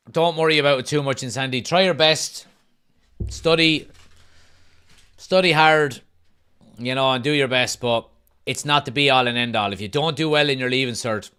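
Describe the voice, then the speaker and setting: A man's voice: medium pitch, smooth. Irish man hosting a football watch-along. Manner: reads viewers' chat messages aloud and answers them; excited play-by-play bursts during match action. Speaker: host of a fan watch-along stream. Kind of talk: casual live-stream chat and match commentary.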